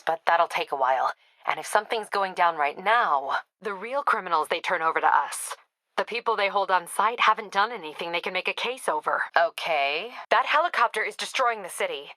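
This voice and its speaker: A woman playing a tough, wry police captain in a video game, brisk, clipped and dryly sardonic. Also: lowish voice, pushed and bright, no room sound.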